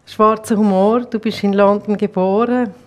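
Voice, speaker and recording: A woman in her thirties with a Swiss German accent, hosting a studio interview and asking lively questions. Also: medium pitch, moderate pace. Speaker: host. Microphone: lapel.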